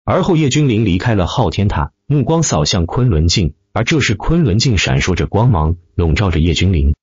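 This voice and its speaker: A man's voice: fairly low pitch, sing-song, fairly quick, darkish tone, breathy edge, no echo, lo-fi sound. A man narrating a dramatic fantasy audiobook from a script.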